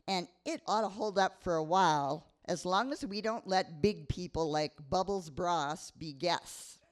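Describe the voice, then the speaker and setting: Woman as bossy bookish nine-year-old girl, storybook narrator, unhurried, slightly low voice. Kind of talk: live dramatic story reading.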